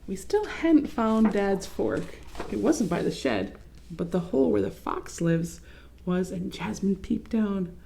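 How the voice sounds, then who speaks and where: Woman, mid-range voice, children's read-aloud host, expressive, explanatory. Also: medium pitch, a little slow, creaky, a little hiss or hum.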